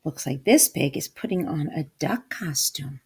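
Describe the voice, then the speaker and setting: Female storybook reader for kids: slow, lively intonation, dramatic emphasis, teacherly questioning. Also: deep voice, slightly rough. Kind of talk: expressive read-aloud to children.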